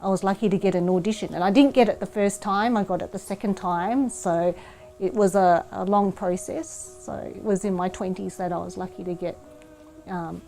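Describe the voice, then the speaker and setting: Animated middle-aged woman. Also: mid-range voice, normal speed, vocal fry, soft background music. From Australia. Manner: animated, expressive, laughs while telling stories. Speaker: former children's TV presenter, interviewee. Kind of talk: lively storytelling in interview. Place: indoor living room by a window.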